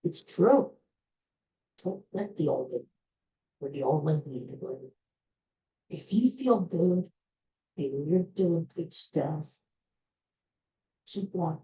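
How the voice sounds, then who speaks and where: Slow, mellow-voiced woman podcast host. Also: low-pitched, animated, rough recording. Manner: rambling, folksy advice with many restarts; addresses listeners as folks. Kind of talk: casual solo podcast monologue.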